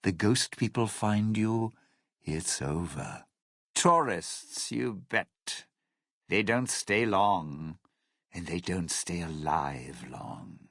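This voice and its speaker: Casting: jaded old showman for a game, husky and gravelly, wry and vain, with big swings in pitch. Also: expressive, slow, a bit bright, dry recording, clean studio sound, heavily acted. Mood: darkly sardonic. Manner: wry, theatrical, slangy showman's drawl. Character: jaded, self-regarding old lounge singer, smooth-talking cynical schemer. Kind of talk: video game character dialogue.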